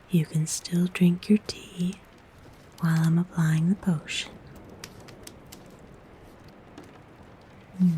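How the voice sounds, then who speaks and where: A woman playing a kind, motherly forest witch in a soft, breathy, gentle whisper for an ASMR roleplay. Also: lowish voice, a little hiss or hum. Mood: soothing, intrigued. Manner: gentle, soothing, coaxing, unhurried. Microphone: close microphone.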